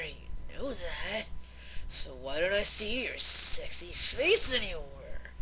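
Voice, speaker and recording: Woman acting a slow, drunk unicorn party girl. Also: on the low side, fairly bright tone, slight background noise, poor audio. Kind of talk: acted voicemail monologue in fan fiction reading.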